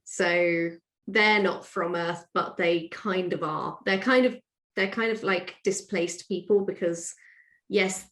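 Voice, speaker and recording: Woman, lowish voice, echoey room. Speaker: podcast guest, author. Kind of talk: casual interview chat, explaining. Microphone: own microphone on remote call. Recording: roomy, echoey sound.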